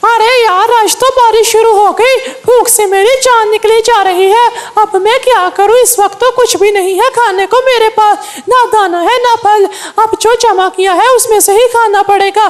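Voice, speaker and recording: Woman voicing a very high-pitched, touchy cartoon bird. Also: fairly even intonation, normal speed, hardly any pauses. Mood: complaining. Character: touchy, quarrelsome male cartoon bird, crow or parrot. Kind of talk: Hindi children's cartoon dialogue.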